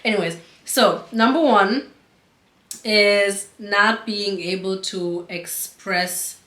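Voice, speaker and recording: A young woman whose first language is German chats with a partner in a rambling, giggly way, and her pitch sits in the middle range. Her voice carries a noticeable room echo. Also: slow, sharp tone. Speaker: co-host. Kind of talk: casual chat. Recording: echoey room.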